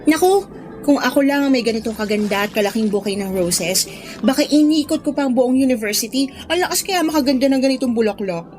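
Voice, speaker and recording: Actress as gushing, high-voiced Filipino college girl. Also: expressive, quick pace, a little mellow. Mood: thrilled. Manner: gushing, exclamatory. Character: gushing, easily thrilled college girl best friend. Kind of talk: Filipino radio drama dialogue.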